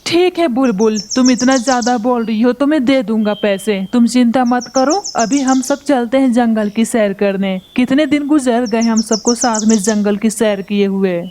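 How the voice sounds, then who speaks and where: A woman voicing a scheming male crow, a husband and father with a gruff, dismissive manner in a cartoon. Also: a little high, normal speed, few pauses, dark tone, a little hiss or hum, close to an everyday voice. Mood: coaxing. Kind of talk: Hindi cartoon voice acting.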